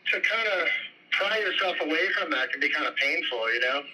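A man's voice: on the high side, lively intonation, fairly quick, bright, forceful tone, slight background noise, poor audio. A man in his forties talking casually in an interview, with many fillers and restarts. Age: 40s. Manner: casual, hesitant, lots of fillers. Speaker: interviewee, business owner. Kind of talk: recorded interview clips.

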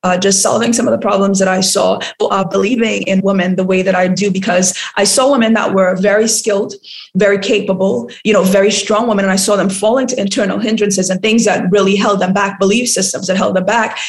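A woman's voice: mid-range voice, steady tone, fairly quick. Earnest motivational woman from Trinidad. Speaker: guest life coach. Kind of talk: podcast interview.

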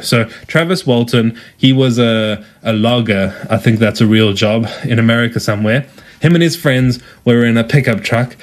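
A man with a South African accent, hosting a solo podcast in a chatty, joking way with quick asides. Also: mid-range voice. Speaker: podcast host. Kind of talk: casual solo podcast talk.

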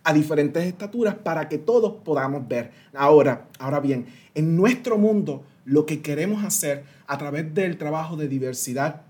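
A man's voice: on the high side, lively intonation, moderate pace, few pauses, a little mellow, slightly rough. A Puerto Rican man in his thirties, a guest consultant explaining ideas animatedly with everyday analogies and some English words mixed in. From Puerto Rico. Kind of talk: interview explanation.

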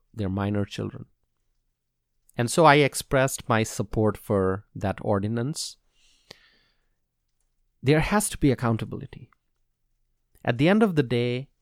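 A man from Bangladesh speaks slowly and deliberately on his own podcast, his voice carrying a gravelly edge. The recording is dry and close, with no room sound. He makes his points earnestly and circles back to them for emphasis. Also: mid-range voice, a little mellow, no echo. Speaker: podcast host. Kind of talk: solo commentary on public policy.

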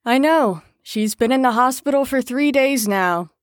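A woman's voice: high-pitched, expressive, measured pace, dry recording. A woman in an audio drama playing a firm carer on a patient ward, with a dry, exasperated manner. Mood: dry, teasing.

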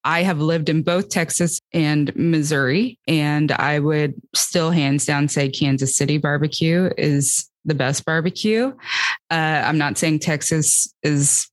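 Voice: medium pitch, fairly even intonation, measured pace, no room sound. A podcast host interviewing a guest in a friendly, easygoing way and reading out event details. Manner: friendly, laughs easily, reads event details.

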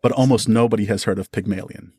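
Low-voiced man explaining his craft. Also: steady tone, fast talker, dark tone, slightly rough, no echo, clean studio sound. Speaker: audio drama producer and performer, podcast guest. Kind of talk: conversational interview answers, explaining.

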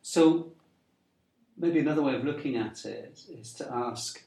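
A man's voice: medium pitch, unhurried, creaky, dark tone. Male interviewer, polite and encouraging, roomy echo. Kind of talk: interview questions.

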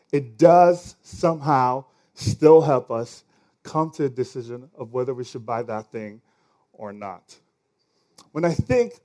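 A man's voice: medium pitch, mellow. A man with a Nigerian accent preaching a sermon as pastor, conversational and now and then stumbling over words.

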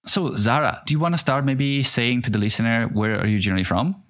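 A man's voice: medium pitch, fast, a bit bright. A man hosting a podcast, reading a scripted intro and then asking curious, self-deprecating questions in casual conversation. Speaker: podcast host.

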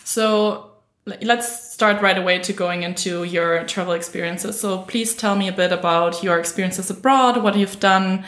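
A woman's voice: medium pitch, steady tone, moderate pace, few pauses, a bit bright. A woman hosting a podcast, chatting casually as an interviewer and affirming what is said. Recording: roomy echo, faint music.

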